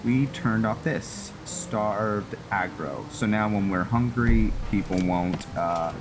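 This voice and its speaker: A man's voice: lowish voice, lively intonation, slow pace. A man hosting a gaming video with casual roleplay commentary, thinking aloud as he goes. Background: music.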